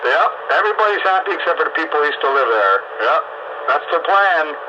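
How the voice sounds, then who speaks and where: A man's voice: fairly high pitch, animated, fairly quick, pushed and bright. A man chatting casually over amateur radio with folksy, sardonic grumbling. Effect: two-way radio transmission. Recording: muffled, noisy ham radio transmission. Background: clear radio static and noise.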